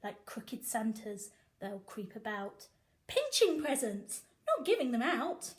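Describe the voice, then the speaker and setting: A woman teacher reading a picture book aloud to young children, with animated, sing-song emphasis. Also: a little high, slow pace. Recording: echoey room.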